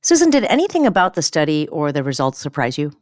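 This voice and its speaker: A woman physician hosting a podcast, interviewing in a measured, professional style. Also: deep voice, very animated, darkish tone, dry recording, studio quality. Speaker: infectious disease physician.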